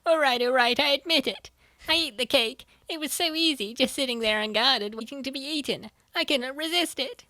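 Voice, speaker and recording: A woman's voice: sits high, normal speed, sharp tone, dry recording. A woman voicing an earnest, nerdy young female gamer who explains her opinions in a comic dub. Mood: defensive. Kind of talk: comic dub dialogue, gaming banter.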